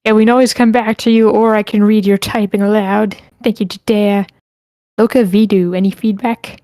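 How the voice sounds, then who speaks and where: Woman, mid-pitched, informal online meeting host who mutters asides and reads out comments. Very dry sound. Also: fairly even intonation, moderate pace, warm tone, no room sound. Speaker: host moderating a script feedback session. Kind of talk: casual meeting moderation, reading chat messages aloud.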